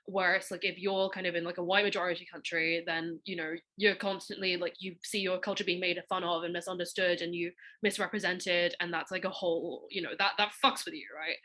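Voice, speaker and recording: A young woman from Hong Kong talks in a bright, sharp-edged voice, a little on the low side. She rambles through her thoughts and fills them with "like" and "you know" in a relaxed, chatty way. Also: fairly quick, hardly any pauses. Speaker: podcast host. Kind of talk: casual conversation.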